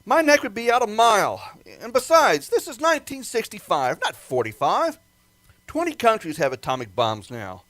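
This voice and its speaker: An amateur actor playing a placating, hesitant military base official who gets excitable under pressure in a radio play. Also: male voice, high voice, moderate pace, dry recording, exaggerated voice. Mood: exasperated.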